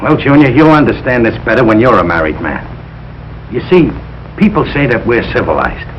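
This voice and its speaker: An actor playing a blustering, good-hearted Brooklyn working-class husband, blunt and slangy, in old broadcast sitcom style. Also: male voice, mid-range voice, big pitch swings, a little fast, highs cut off, lightly acted. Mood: confiding, determined. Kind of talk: 1940s-50s broadcast sitcom acting. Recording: noisy old broadcast recording.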